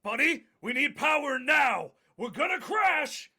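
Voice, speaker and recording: A man's voice: very high voice, sing-song, unhurried, creaky, pushed and bright, shouting, heavily acted. A man playing a harried old Santa Claus in a live comedy table read. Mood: panicked shouting.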